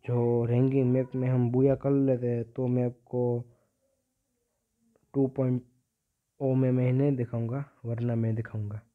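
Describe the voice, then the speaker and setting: A man's voice: medium pitch, flat delivery, measured pace, pauses often. A teenage male giving casual gameplay commentary as a gaming video creator. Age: teenager, about 15. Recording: dull, lo-fi phone-like audio.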